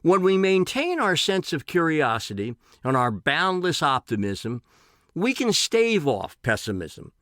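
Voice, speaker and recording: A man reads a personal essay with earnest emphasis. His pitch moves widely and sits a little high for a man. The sound is dry and close, without room echo. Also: huge pitch swings, a little slow, fairly bright tone. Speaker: writer reading own essay. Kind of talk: scripted personal essay reading.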